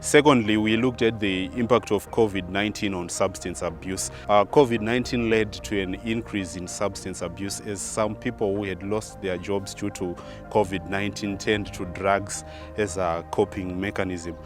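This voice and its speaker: A man with a Zimbabwean accent giving a measured, formally worded account of a project. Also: on the low side, fairly even intonation. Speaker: project team member. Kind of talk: interview explanation. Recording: soft music under the voice.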